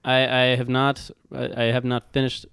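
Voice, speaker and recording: Young man, medium pitch, informal rambling book-vlogger delivery, unscripted, very little room sound. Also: monotone, moderate pace, vocal fry, a bit bright, smooth, no room sound. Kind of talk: casual unscripted chat.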